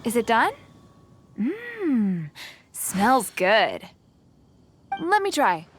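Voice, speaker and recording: A woman's voice: fairly high pitch, huge pitch swings, very slow, noisy background, heavily acted. A woman playing a confident, friendly young female wilderness survival expert in a video game, speaking in a self-assured, instructive way. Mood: pleased. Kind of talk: English video game character acting.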